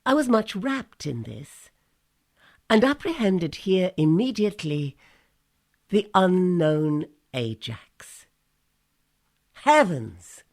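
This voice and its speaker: A cool, scheming Greek strategist in a Shakespearean radio play. The pitch is fairly low but moves expressively. Each phrase is slow, measured and articulate. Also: female voice, big pitch swings, a little mellow, heavily acted. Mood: sly, musing. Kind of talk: Shakespearean audio drama acting.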